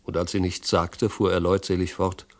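A man reading a literary audiobook in an elegant, smooth, scripted manner. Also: low voice, level tone, normal speed, close to an everyday voice. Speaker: audiobook narrator. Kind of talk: literary scripted narration with acted dialogue.

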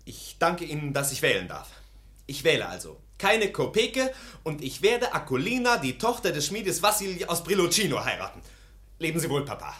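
A man's voice: on the high side, wildly swooping pitch, normal speed, a bit bright, slightly rough, exaggerated voice. An actor playing an earnest, romantic young nobleman in a German radio play. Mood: determined. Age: young adult. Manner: earnest, fervent.

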